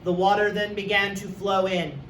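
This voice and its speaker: A man's voice: high-pitched, level tone, normal speed, a bit bright. A man who works as a teacher, reading a story aloud for young students. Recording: echoey room, distant mic, faint noise.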